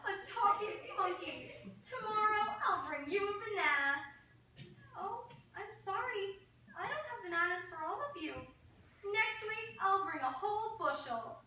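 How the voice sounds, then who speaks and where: Woman acting a high, bright scatty schoolteacher in echoey room. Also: animated, unhurried, exaggerated voice. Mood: cheerfully oblivious. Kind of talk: reader's theatre stage performance. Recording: very echoey room, distant mic, faint noise.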